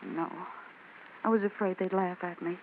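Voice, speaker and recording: A woman's voice: lowish voice, steady tone, moderate pace, natural voice. A woman playing an anxious young client. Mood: frightened. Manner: earnest, anxious. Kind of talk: 1940s radio acting. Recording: tinny old radio recording, faint hiss.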